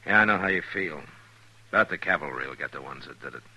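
An American actor playing a stern, watchful, lonely frontier marshal in a 1950s radio western, speaking tersely and with measure. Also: male voice, very deep voice, animated, quick pace, creaky, bright, forceful tone, slightly rough, full character voice, rough recording. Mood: sympathetic, cautioning. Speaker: lead actor. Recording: old radio drama recording.

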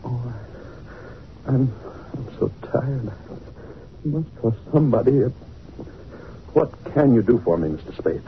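A man's voice: mid-range voice, animated, takes it slowly, pauses often. An actor playing an anxious, courteous psychoanalyst in an old-time radio detective drama, speaking formally and hesitantly and repeating his words. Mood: weary, pleading. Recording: phone-like old radio recording, faint noise.

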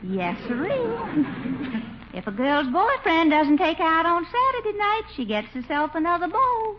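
An actress playing a coquettish belle with a sugary, coaxing manner, in 1940s radio acting. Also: female voice, extremely high pitch, big pitch swings, moderate pace. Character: coquettish Southern belle, sweetly wheedling. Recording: dull old radio broadcast, faint hiss.

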